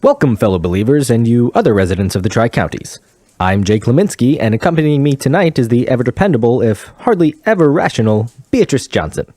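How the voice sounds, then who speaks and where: Pompous radio anchor played by a man, animated and roomy. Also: medium pitch, fast talker. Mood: smug. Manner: pompous, sanctimonious. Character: pompous, self-righteous, insulting male small-town radio news anchor. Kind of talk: mock local news broadcast.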